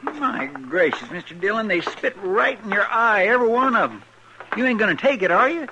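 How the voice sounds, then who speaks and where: A drawling loyal deputy. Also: male voice, high-pitched, animated, moderate pace, creaky. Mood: indignant. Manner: deferential country drawl. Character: loyal excitable male deputy sidekick. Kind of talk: 1950s radio drama acting. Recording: noisy old radio broadcast.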